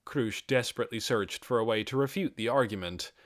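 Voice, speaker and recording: A man reading a fantasy audiobook as narrator, in a measured and expressive dramatic storytelling style. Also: medium pitch, dry recording, clean studio sound.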